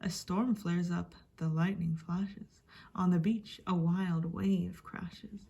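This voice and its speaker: A woman storyteller giving a dramatic reading of a rhyming picture book, expressive, with hushed and emphatic passages. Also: on the low side, slow, creaky, breathy edge. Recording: faint background noise.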